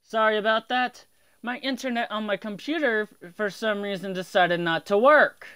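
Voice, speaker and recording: A man's voice: sits high, normal speed, clear, resonant tone, no room sound. A man chatting casually on a gaming livestream. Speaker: streamer and amateur voice actor. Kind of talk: casual livestream game chat. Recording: loud game sounds behind.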